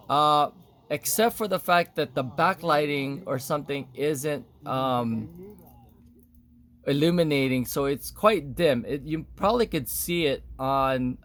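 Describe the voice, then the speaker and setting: Male demonstrator, gravelly fry, mid-pitched, off-the-cuff and hesitant, walking through features step by step. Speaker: product demonstrator. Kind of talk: casual unscripted product demo.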